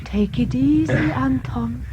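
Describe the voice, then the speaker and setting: A woman's voice: high voice, takes it slowly, muffled recording, background noise. A woman playing a cool, enigmatic female investigator in an audio drama, speaking in terse, authoritative lines. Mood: commanding.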